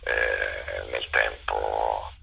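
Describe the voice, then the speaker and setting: A man with a gravelly, husky voice giving reflective interview answers, often pausing to search for his words. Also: rumbling low, level tone, lo-fi sound, a little hiss or hum. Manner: halting, searching for words, with many pauses. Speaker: interviewed theatre director and performer.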